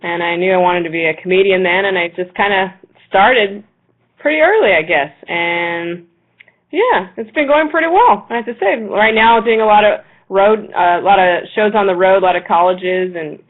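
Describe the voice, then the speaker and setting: A woman guest from Los Angeles talks in a halting, modest way, doubling back on her words as she answers. Her voice sits at an ordinary pitch. The sound is thin and tinny, like a call coming over a phone line. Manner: hesitant, repeats words, modest. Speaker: guest comedian. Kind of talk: casual interview chat.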